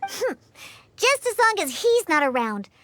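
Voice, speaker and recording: A woman's voice: extremely high pitch, big pitch swings, a little slow, a bit bright, exaggerated voice. A woman voicing a proud, prickly little cat-girl bartender in a video game, with a haughty, cutesy, huffy manner. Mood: guarded. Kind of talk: scripted video game character acting. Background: background music.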